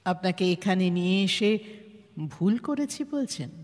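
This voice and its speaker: A woman playing a composed, authoritative head of an organisation in a Bengali audio drama, with a Bengali accent and a measured, formal, probing manner. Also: medium pitch, expressive, a little mellow, natural voice. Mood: stern, probing. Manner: measured, interrogating, firm and formal. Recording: reverberant hall-like echo.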